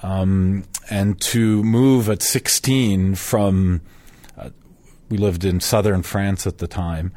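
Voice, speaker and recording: Very deep-voiced male sociologist. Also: steady tone, slow pace, warm tone, no echo. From Switzerland. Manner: thoughtful, explanatory, with fillers. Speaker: sociologist guest. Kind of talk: interview answers.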